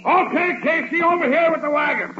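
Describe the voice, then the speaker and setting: An actor playing a gruff, bullying lawman in 1940s radio comedy, loud and brusque as he barks orders. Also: very high-pitched, brisk. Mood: bossy. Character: gruff, bullying comic cop and hanging judge. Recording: noisy, dull vintage radio recording.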